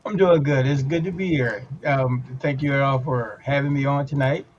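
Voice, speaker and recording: Relaxed man, dull online audio. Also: medium pitch, normal speed, slight background noise. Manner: relaxed, lots of ums. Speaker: guest, game designer. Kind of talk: casual chat.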